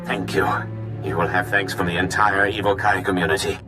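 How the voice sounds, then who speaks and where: A man voices an earnest leader of a resistance cause in a sci-fi game. He sounds sincere and commanding, with a fairly low pitch and music playing behind him. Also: steady tone, fairly quick, natural voice. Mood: urgent.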